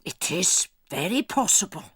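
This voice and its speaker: A woman's voice: on the low side, sing-song, normal speed, a bit bright, husky, dry recording, exaggerated voice. A woman playing a doting, conspiratorial old grandmother, bold and sprightly, in an audio drama. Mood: fond, curious.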